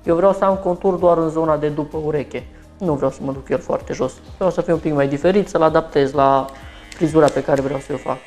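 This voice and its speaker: A man's voice: on the high side, darkish tone, soft background music. A man in his thirties from Romania, a barber who explains each step of a haircut while he works, talking through it in a small shop.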